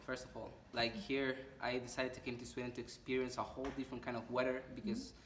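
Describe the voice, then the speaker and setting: A man's voice: mid-range voice, little pitch movement, fast talker, a bit bright, roomy sound, distant mic, a little hiss or hum. A young man, earnestly explaining with examples in an interview. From Mexico. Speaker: exchange student. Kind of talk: casual interview answers.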